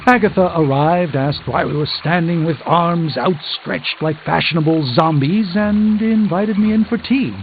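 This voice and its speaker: An actor voicing an urbane, proud middle-aged sorcerer in a video game, speaking with eloquent, theatrical dry wit. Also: male voice, a little high, a little slow, hardly any pauses, a little hiss or hum. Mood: wryly amused. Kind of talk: scripted video game dialogue. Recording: thin phone-like band, loud music behind.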